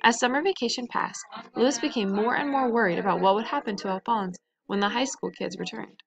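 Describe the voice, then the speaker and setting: High, creaky-voiced woman reading a storybook. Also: fairly quick, crowd noise, poor audio. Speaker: storybook reader. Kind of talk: children's read-aloud narration.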